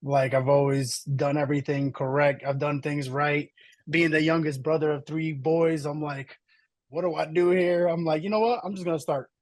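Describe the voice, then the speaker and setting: A man's voice: on the high side, fairly even intonation, moderate pace, no echo. A man from Slovenia hosting a podcast, upbeat and encouraging in casual interview talk. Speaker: podcast host.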